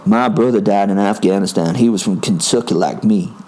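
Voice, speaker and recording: A man's voice: on the low side, brisk, dark tone, natural voice. A man voicing a huge, overconfident American super-soldier in a story, with an attempted American accent and a menacing, boastful, challenging manner. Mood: reverent, confident. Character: cocky, huge American male super-soldier itching to fight.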